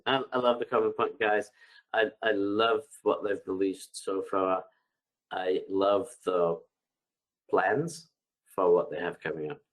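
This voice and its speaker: Deep-voiced guy, all bunged up with a cold, on a headset in a home office, reading out questions and um-ing through the answers. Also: rough recording. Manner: relaxed and hesitant, many ums, reads questions aloud then answers. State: congested from a bad cold. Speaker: product expert answering webinar questions. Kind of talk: live webinar Q&A, unscripted. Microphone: headset on a video call.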